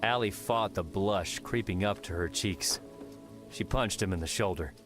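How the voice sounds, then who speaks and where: Deep-voiced male audiobook narrator. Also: moderate pace, over music. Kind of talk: scripted fiction narration.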